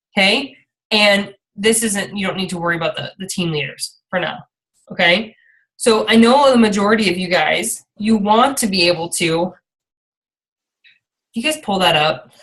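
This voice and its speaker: A woman's voice: mid-range voice, normal speed, fairly bright tone, poor audio. A woman leading an informal motivational team training call, rambling a little and often checking in with her listeners as she encourages them. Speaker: team leader and coach hosting a call.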